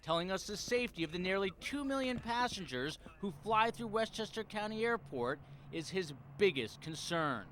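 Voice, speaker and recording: A male television reporter delivers scripted investigative news narration. His voice sits notably high for a man and moves through a wide, animated range of pitch. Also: normal speed, few pauses, slight background noise.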